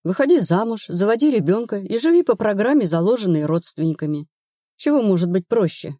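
Mellow, blunt woman investigator read by a woman. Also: mid-range voice, expressive, a little fast, no room sound, clean studio sound, close to an everyday voice. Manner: matter-of-fact, assured. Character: brisk, rational, blunt female investigator advising a friend.

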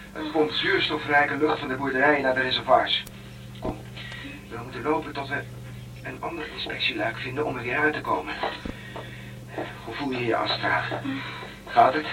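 A man's voice: mid-range voice, moderate pace, pauses often, recorded from a distance, music in the background, close to an everyday voice, poor audio. Man acting a bold young space fugitive, off-mic. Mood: assured, hushed. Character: bold, protective young male space-ship fugitive, rebellious. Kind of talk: Dutch radio drama acting.

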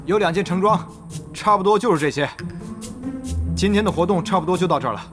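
男演员饰演多疑而沉稳的公会会长. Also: male voice, high-pitched, fast talker, over music, lightly acted. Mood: businesslike. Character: wary, calculating male online game guild leader. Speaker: voice actor. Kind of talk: Chinese audio drama acting.

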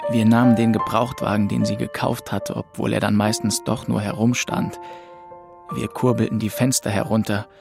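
A man's voice: mid-range voice, creaky, natural voice. An actor playing a grieving, aimless young man of twenty-two, narrating a radio drama in a subdued, introspective way. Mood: reflective, subdued. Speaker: first-person narrator. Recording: music behind the voice.

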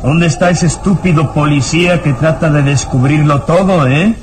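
An actor playing a gaunt, nervous, wickedly gleeful villain in an old radio serial melodrama, with a gloating, sinister manner. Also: male voice, a little high, normal speed, noisy background, lightly acted. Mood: sinister, mocking. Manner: gloating, sinister, breaks into cackling laughter. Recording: radio drama with background music. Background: dramatic music.

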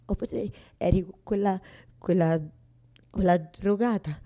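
An actress playing a bitter, self-mocking woman who argues with God in a halting, repetitive theatrical monologue. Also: mid-range voice, warm tone. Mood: angry, desperate. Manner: repetitive, halting, confessional, swinging from whispers to outbursts. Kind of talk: theatrical monologue, radio drama.

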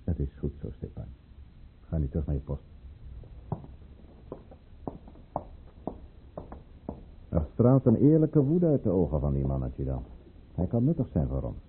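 Silky conspirator of high rank, husky, very varied intonation, formal and probing, thin old radio-play sound. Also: male voice, very animated, slight background noise. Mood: low, conspiratorial. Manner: measured, probing, formal and insinuating. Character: scheming, silky high-ranking male courtier conspiring against the tsar. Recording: muffled, narrow-band old radio recording.

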